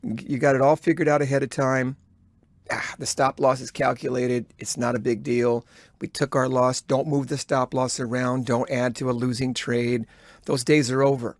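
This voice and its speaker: A man giving live day-trading commentary, thinking aloud in a halting, instructive way as he talks to himself and viewers. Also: medium pitch, flat delivery, dry recording. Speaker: day trader recording a trading journal.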